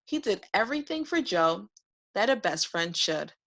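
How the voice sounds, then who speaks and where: A woman reading a children's picture book aloud for preschoolers in an expressive narrating style. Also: medium pitch, moderate pace. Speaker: read-aloud host.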